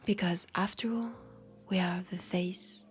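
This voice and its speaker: A woman's voice: lowish voice, steady tone, measured pace, soft background music, close to an everyday voice. A woman with a French accent playing a guarded but friendly vampire police officer in an intimate audio drama, speaking hesitantly and wryly, as if confiding. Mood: uneasy, earnest. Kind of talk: roleplay audio drama, intimate one-sided conversation.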